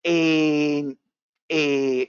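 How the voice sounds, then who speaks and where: A man's voice: a little high, level tone, drawn-out words, clear, resonant tone, no echo. Cuban-born man hosting a webinar, dull audio. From Cuba. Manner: casual, repetitive, filler-heavy with many restarts. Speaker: trading webinar presenter. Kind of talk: live webinar talk.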